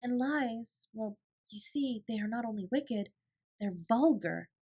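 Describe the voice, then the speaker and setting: Woman voicing an eager, high-pitched schoolgirl. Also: animated, slow, dark tone, breathy edge, full character voice. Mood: reflective, earnest. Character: bright, earnest, imaginative schoolgirl, eager and principled.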